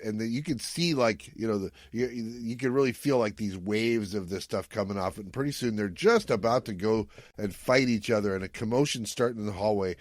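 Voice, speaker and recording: A man's voice: fairly low pitch, no echo, clean studio sound. A man hosting a podcast, casually recapping an episode and jokily paraphrasing its dialogue. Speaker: podcast host.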